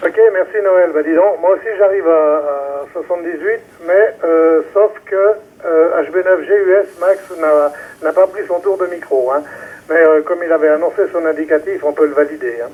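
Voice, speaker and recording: A man with a husky, slightly high voice talks on amateur radio. He is chatty and informal. The transmission is thin and phone-like. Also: moderate pace. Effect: ham radio transmission. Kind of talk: informal ham radio chat. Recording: thin narrow-band amateur radio relay.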